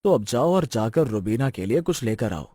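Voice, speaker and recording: A man's voice: medium pitch, very animated, moderate pace, dark tone, a little hiss or hum, close to an everyday voice. A man voicing a firm young village husband in a cartoon moral story. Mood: firm, reproving.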